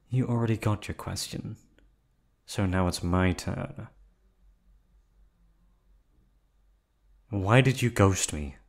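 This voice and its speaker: A man's voice: fairly low pitch, slightly rough, heavily acted. A man voicing an obsessive male yandere hotel receptionist in an ASMR drama, silky and polite, sliding into menace and close whispers. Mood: angry. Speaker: voice actor. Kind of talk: ASMR roleplay audio drama.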